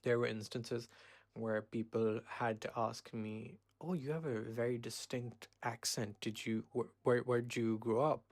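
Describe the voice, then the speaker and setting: A man in his twenties from Pakistan, hosting a casual solo podcast and rambling with many pauses and self-corrections. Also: mid-range voice, fairly even intonation, no echo. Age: 20s. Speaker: podcast host.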